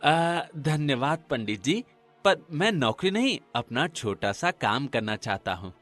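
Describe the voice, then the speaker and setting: An earnest, slow-talking poor villager with a hopeful, humble temper, played by a man. His pitch moves expressively, as in a cartoon drama, and music plays beneath him. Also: sing-song, fairly bright tone. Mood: determined, humble. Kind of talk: acted Hindi cartoon dialogue.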